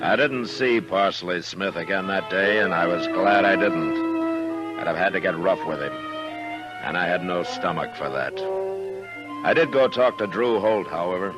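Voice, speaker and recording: A weary, plain-spoken western lawman in classic radio drama. His voice is low and commanding, with terse lines and stern authority. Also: a little slow, over loud music. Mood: grim, reflective. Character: stern, weary male frontier marshal. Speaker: lead character and narrator. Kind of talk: 1950s radio western acting and narration.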